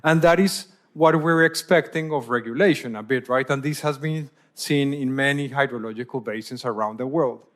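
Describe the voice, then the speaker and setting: A man's voice: mid-range voice, normal speed, hardly any pauses, fairly bright tone. A man from Colombia, giving a conference presentation as a hydrologist and often repeating words as he searches for phrasing.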